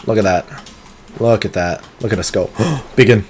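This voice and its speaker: A man streaming a game live, talking through it in an excitable, competitive way, with sudden gasps and pleading. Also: fairly low pitch, moderate pace. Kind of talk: live gameplay commentary.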